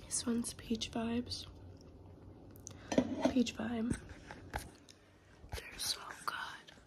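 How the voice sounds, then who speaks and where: A woman's voice: fairly high pitch, monotone, very slow. Congested young woman vlogging. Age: 20s. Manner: whispering softly. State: congested, scratchy throat from a cold. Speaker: vlogger, college student. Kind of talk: casual vlog chat to camera. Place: small room at home, indoors. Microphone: phone camera held close.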